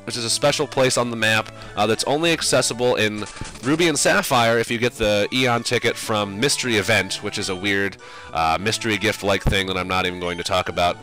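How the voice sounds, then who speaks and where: A man's voice: fairly low pitch, steady tone, a little fast, pushed and bright, faint music behind. Sinusy man rambling over a game. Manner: casual, rambling, many fillers. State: sinusy from a cold. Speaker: game commentator. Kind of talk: let's play commentary.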